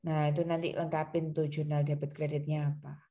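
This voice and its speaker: A woman's voice: low-pitched, flat delivery, a little fast, dark tone, muffled recording. A woman teacher leading an online lesson, prompting students with questions. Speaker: accounting teacher. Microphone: video call.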